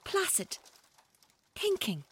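A woman playing an obsessive, menacing young woman in a radio drama, speaking in a deliberate, coaxing, unsettlingly calm manner. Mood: coaxing menace. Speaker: actor in an audio drama. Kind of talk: naturalistic radio drama acting.

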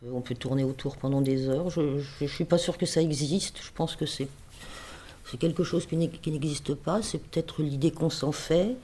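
A man's voice: fairly high pitch, moderate pace, mellow. A man giving an off-the-cuff personal reflection, tentative and repeating words as he thinks. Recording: faint background noise and ambience.

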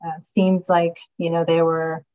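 A woman in her forties from Somalia, a guest on an interview, telling her story in an earnest, halting way with many ums and uhs over slightly muffled remote audio. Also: fairly low pitch, level tone, a little mellow. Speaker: guest, county commissioner.

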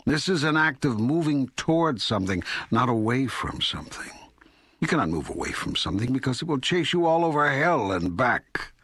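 A man playing God in an audiobook dialogue, speaking as a patient, all-knowing deity in a measured, authoritative, teaching way. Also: medium pitch, sing-song, fairly bright tone, breathy edge, no room sound, clean studio sound. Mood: calm, instructive. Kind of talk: scripted audiobook dialogue reading.

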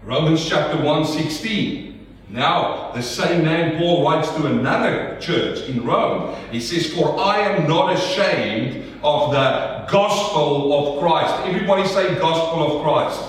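High-voiced South African preacher in an echoey hall. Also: male voice, takes it slowly. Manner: emphatic call-and-response preaching. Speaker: pastor. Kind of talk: sermon. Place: church hall. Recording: echoey church hall, mic at a distance.

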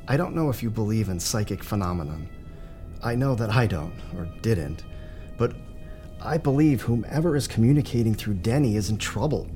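A man playing an earnest, troubled psychiatrist in an audio drama, speaking in a measured, polite, halting way. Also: mid-range voice, darkish tone, natural voice. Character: earnest, hesitant male psychiatrist, troubled but professional.

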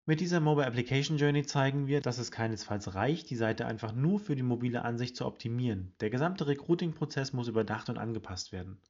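A man hosting a weekly HR news podcast, reading a scripted report in a brisk, informative way and addressing listeners directly. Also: mid-range voice, darkish tone, lo-fi sound. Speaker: podcast host presenting HR study results.

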